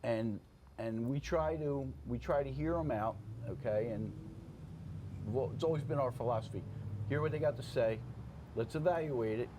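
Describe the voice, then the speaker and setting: A man's voice: mid-range voice, normal speed. Plainspoken older man, noisy room. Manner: plainspoken, checks in with "okay?" and "you know". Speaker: veteran fire officer, interview guest. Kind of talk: casual conversational interview. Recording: clear background room noise.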